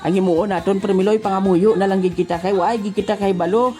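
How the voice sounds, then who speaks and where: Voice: mid-range voice, steady tone, quick pace. A talk show host with a Western Visayan Philippine accent, chatting casually in a jocular, bantering way. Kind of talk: casual joking chat. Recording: loud music bed behind the voice. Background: music.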